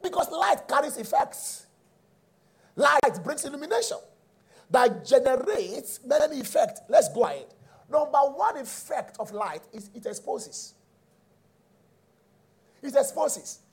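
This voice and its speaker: A middle-aged Nigerian man with a raspy voice, teaching a sermon emphatically, repeating key phrases and checking in with his listeners. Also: very high voice, big pitch swings, a little slow, long pauses. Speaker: preacher.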